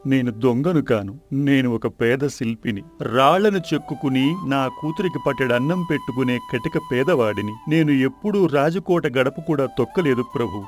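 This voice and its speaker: A man's voice: medium pitch, expressive, normal speed, faint music behind, lightly acted. A man playing a humble subject addressing his king in a folk tale drama, deferential and earnest. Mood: pleading. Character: humble palace subjects: pleading poor sculptor, false witness.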